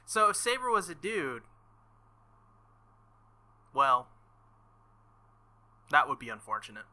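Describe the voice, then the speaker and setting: Brisk young sorceress from a visual novel, practical, matter-of-fact, read by a man in his own voice, bright, fairly high. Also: big pitch swings, full character voice. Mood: explaining. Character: confident young woman mage, brisk and practical.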